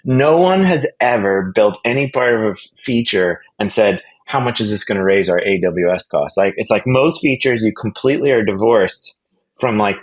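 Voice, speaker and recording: A man speaking casually as an interview guest, animated and emphatic, rambling through false starts and repeated words. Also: on the low side, moderate pace, low-quality recording. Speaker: interview guest, product executive.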